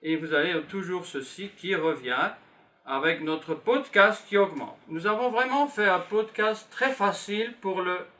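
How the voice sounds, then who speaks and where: A man's voice: high-pitched, bright, forceful tone. A man in his fifties from Belgium, teaching beginners and patiently repeating simple phrases as he writes them down. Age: 50s. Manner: slow, patient, repeats phrases while writing. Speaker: French teacher. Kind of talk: classroom lesson for beginners.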